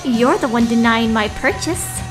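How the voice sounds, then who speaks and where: A woman playing a loud, demanding, confrontational female customer in an audio drama. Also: fairly high pitch, expressive, measured pace. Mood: indignant. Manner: brash, confrontational. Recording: music under the voice.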